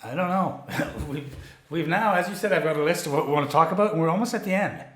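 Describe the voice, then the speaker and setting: A man co-hosting a podcast in casual chat, relaxed and wry, with frequent fillers. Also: on the high side, quick pace, echoey room. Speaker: podcast co-host.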